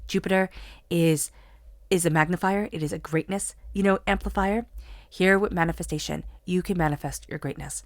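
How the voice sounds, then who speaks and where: Low-voiced woman with a cold. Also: fairly even intonation, moderate pace, no echo. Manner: soothing guided meditation, then earnest spiritual pep talk. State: has a cold. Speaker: tarot reader. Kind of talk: solo tarot reading to camera.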